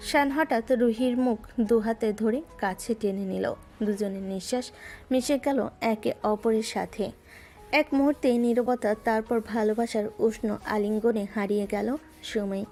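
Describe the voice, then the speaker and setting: A woman narrating a romantic audio drama in a smooth storytelling manner. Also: on the high side, moderate pace, darkish tone, soft background music.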